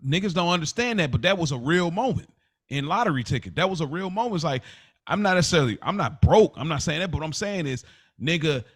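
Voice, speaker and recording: A man's voice: a little high, a bit bright. A man in his thirties talking candidly and casually on a podcast, emphatic and repetitive, with slangy, confiding delivery. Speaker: podcast host.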